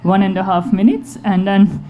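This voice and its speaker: A woman's voice: medium pitch, fairly even intonation, normal speed, phone-quality sound. A woman moderator introducing a panel discussion at a live event. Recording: faint background noise, live event ambience.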